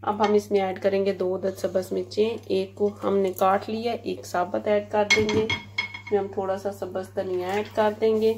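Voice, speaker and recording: Woman host, even and steady recipe walkthrough, recorded on a camera mic with kitchen noise behind. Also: medium pitch. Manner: plain, measured listing of ingredients. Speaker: cooking channel host. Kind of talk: step-by-step recipe instruction. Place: home kitchen. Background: frying and stirring sounds.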